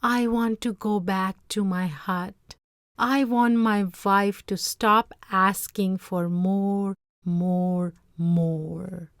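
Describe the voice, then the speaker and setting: A woman's voice: medium pitch, steady tone, drawn-out words, dry recording, studio quality. A woman voicing a humble, fearful fisherman in a storybook, pleading earnestly. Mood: weary pleading. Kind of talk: storybook read-aloud character voice.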